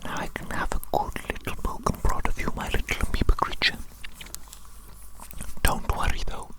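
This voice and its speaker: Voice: normal speed, lightly acted. Whispering, doting evil doctor in an ASMR roleplay. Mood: gentle, doting. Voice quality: breathy, unvoiced whisper. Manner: repeats phrases soothingly, theatrical, formal wording. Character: doting, eccentric evil doctor fussing over a created monster.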